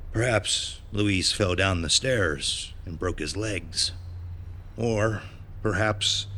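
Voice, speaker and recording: Menacing cartel collector male actor, very low forceful voice, slow mock concern, horror audio drama. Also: a little hiss or hum, full character voice. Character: menacing well-groomed cartel debt collector, falsely courteous man.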